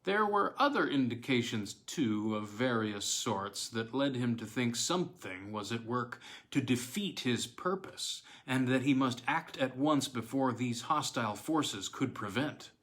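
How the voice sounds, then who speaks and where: A man's voice: mid-range voice, lively intonation, a bit bright. Man narrating a classic weird tale. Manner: measured, deliberate storytelling with dramatic pauses. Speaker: audiobook narrator.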